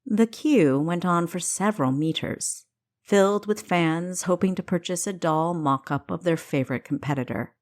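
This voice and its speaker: A woman author narrating her own fiction audiobook in an expressive storytelling style. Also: lowish voice, normal speed.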